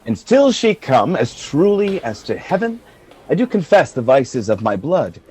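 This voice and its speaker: A dignified general played by a man speaks Shakespearean verse in a fairly high, husky voice. His earnest oratory swings dramatically up and down, recorded close and dry. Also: very animated, normal speed, a little mellow. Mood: earnest, resolute. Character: valiant, dignified male general, frank and composed. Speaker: amateur actor in an online radio play. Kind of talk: Shakespearean verse acting for radio.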